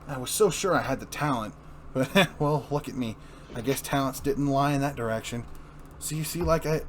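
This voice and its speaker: A man's voice: on the high side, a little hiss or hum, lightly acted. A man playing an anxious, self-doubting young husband and failed comedian, hesitant and rambling, in an amateur comic reading.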